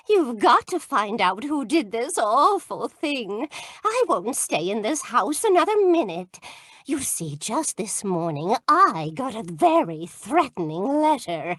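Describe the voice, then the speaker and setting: A woman playing a distressed, indignant wealthy wife in a radio mystery, speaking in a deliberate, dramatic way. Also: on the high side, a bit bright, no echo, studio quality, full character voice. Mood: frightened, pleading. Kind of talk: 1940s radio mystery acting.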